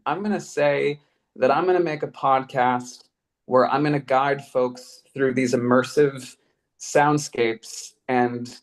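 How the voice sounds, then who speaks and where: An American man from Colorado speaking reflectively on a panel, earnest and articulate, with rather elevated wording. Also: medium pitch. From Colorado, United States. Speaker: podcast creator and artist.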